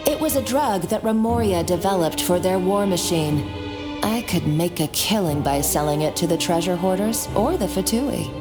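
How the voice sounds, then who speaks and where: A woman's voice: lowish voice, animated, normal speed, close to an everyday voice. A woman playing a ruthless, gloating young female villain in a video game, with a mocking, theatrical, condescending manner. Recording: game music behind.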